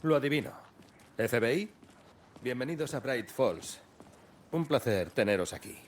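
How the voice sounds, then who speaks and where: A man's voice: medium pitch, animated, a little slow, a little hiss or hum, natural voice. An actor playing a friendly, easygoing male small-town sheriff in a dubbed video game, with a hospitable, folksy way of talking. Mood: genial welcome.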